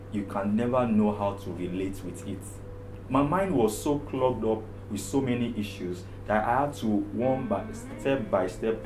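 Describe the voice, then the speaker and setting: A low-pitched man from Africa speaks in a loud, strong voice, earnest and emphatic as he gives his own testimony. His voice rings in a roomy space while guitar music plays clearly underneath. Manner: earnest, emphatic, repeats phrases for stress. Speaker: problem management therapist. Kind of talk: personal testimony, motivational.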